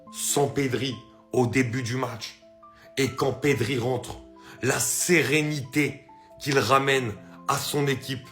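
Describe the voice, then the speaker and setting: A man's voice: mid-range voice, a bit bright, echoey room. A man giving emphatic, insistent football commentary in a video. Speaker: football YouTuber.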